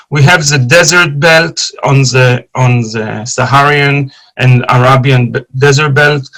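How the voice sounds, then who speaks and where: A man's voice: mid-range voice, low-quality recording. A man with an Israeli accent giving an online archaeology lecture over a video call, eager and full of fillers and restarts. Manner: enthusiastic, fast when excited, frequent fillers and restarts.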